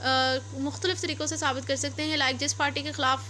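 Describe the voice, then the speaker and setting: A woman with a Pakistani accent teaches law in a high-pitched, bright voice. She reads out formal clauses, then explains and rephrases them step by step. There is faint noise behind her. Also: little pitch movement, fast. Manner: repeats and rephrases points step by step. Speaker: law lecturer. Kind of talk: explanatory lecture mixing read-out English legal text with Urdu explanation.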